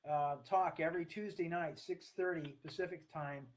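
An American man in his sixties speaks earnestly in a slightly high voice for a man. He is giving an online talk from a room at home, partly reading, and his delivery is halting and deliberate. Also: steady tone. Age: 60s. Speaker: speaker, coach. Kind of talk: online talk to camera, partly read from notes. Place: small room at home, indoors. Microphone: webcam or laptop at desk distance.

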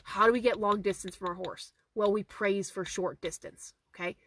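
A woman in her thirties, a horse trainer with a hoarse, fading voice, answering viewer questions in a casual live stream from her car, phone held at arm's length, repeating her key points earnestly. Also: medium pitch, normal speed, fairly bright tone, a little hiss or hum. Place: inside a parked car. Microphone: phone camera at arm's length.

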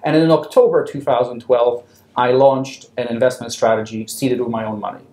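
Thirties man from Poland, fund founder, measured and businesslike, lapel mic in an echoey office. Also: mid-range voice, fairly even intonation, warm tone. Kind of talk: interview answers. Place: office with wooden chairs, indoors. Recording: roomy office echo.

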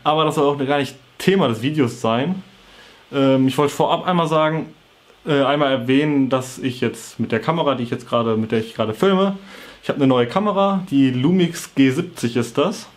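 A man's voice: mid-range voice, moderate pace. A young adult man with a cold with a sore throat, talking casually to camera in an informal, slangy, offhand way. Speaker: vlogger. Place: small bedroom with computer desk, indoors.

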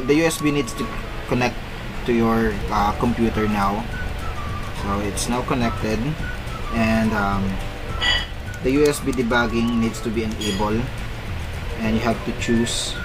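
A man's voice: medium pitch, poor audio. A man from the Philippines, a non-native English speaker, casually walking viewers through a phone tutorial on his computer, with frequent fillers and self-corrections. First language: Filipino. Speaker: tech tutorial host. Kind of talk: screen-recorded tech walkthrough.

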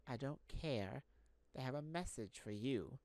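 Woman voicing a gruff, bored, imperious king. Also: rumbling low, animated, slow, darkish tone, dry recording, exaggerated voice. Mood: irritated, bored. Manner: curt, imperious, dismissive. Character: gruff, bored, impatient king on his throne. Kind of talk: amateur fantasy audio roleplay. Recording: faint background noise.

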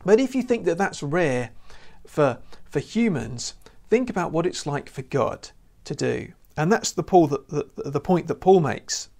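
A man in his thirties gives a sermon straight to camera in a small indoor room. He talks in an earnest, conversational way, with a slightly raised pitch and a gravelly edge. A lapel mic keeps him close. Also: expressive, unhurried, a little mellow. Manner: earnest, conversational, many "you know" fillers. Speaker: preacher. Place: indoor room with lamp and church banner.

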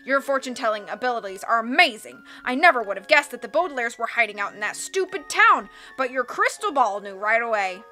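A boastful storybook villain as a woman narrator puts him on, smug and imperious. The delivery is forceful and menacing, with faint music behind. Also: fairly high pitch, sing-song, fairly quick, few pauses. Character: menacing, boastful villainous man, a theatrical gang boss.

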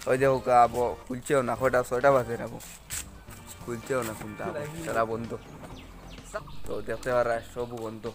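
A man's voice: mid-range voice, flat delivery, brisk, long pauses. A young man with a Sylheti accent chatting casually to viewers as he vlogs outdoors on a phone, often repeating his phrases. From Sylheti-speaking Karimganj area, India. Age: young adult. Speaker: vlogger. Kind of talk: casual chat to camera. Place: outdoors on village roads. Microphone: phone at arm's length. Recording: music under an outdoor phone recording.

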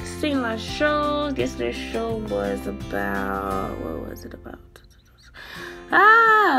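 Chatty young woman vlogging, gravelly. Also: a little high. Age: young adult. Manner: chatty, animated, slangy. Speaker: theater student vlogger. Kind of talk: casual vlog chat. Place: hotel room and festival venues. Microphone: phone held at arm's length.

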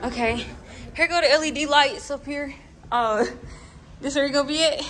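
A woman's voice: sits high, normal speed, fairly bright tone. Young woman vlogger, noisy phone audio. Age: young adult. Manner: informal, slangy. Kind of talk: casual vlog chat and house tour. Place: walking through apartment, hallways and parking garage. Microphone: phone at arm's length. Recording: noisy handheld phone recording.

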